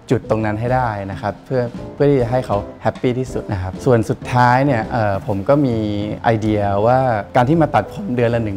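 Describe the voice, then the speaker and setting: A middle-aged man seated in a wood-panelled barbershop, explaining his approach slowly with many pauses and fillers. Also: mid-range voice, darkish tone. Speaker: barbershop owner.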